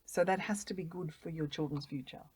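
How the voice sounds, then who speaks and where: A middle-aged woman talks in a low, deep voice, earnest and heartfelt. She often repeats words while she thinks. The sound is close and dry, with no room around it. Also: steady tone, a little fast, a little mellow, husky, no echo. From Australia. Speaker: guest volunteer. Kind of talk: casual interview.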